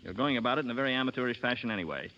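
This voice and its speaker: An actor playing a wry, cool fugitive with a dry, teasing manner, in 1930s radio drama style. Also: male voice, medium pitch, animated, very fast, lightly acted. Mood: sardonic, irritated. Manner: dry, mocking. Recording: muffled, hissy 1930s radio broadcast.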